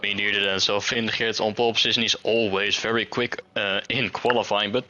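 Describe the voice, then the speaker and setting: A man's voice: on the low side, little pitch movement, fairly quick, bright, forceful tone, highs cut off. A male co-commentator in laid-back, teasing banter, laughing easily.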